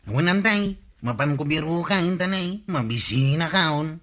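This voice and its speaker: A man's voice: on the high side, animated, measured pace. A man playing an obedient, hungry village nephew sent on errands in a comedy radio drama, with an Ilocano accent. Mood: compliant. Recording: loud music under the voice. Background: loud music.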